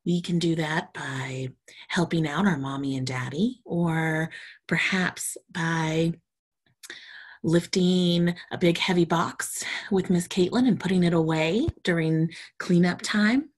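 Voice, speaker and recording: A woman's voice: lowish voice, fairly even intonation, takes it slowly, breathy edge. A woman storytime reader, reading a children's storybook aloud expressively and talking to young children.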